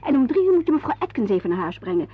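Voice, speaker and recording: A woman playing a brisk, bossy wife in a radio play, fussily rattling off instructions. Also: on the high side, sing-song, slightly rough. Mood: brisk, fussing. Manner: fussy, rapid, instructing. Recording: dull lo-fi radio play recording.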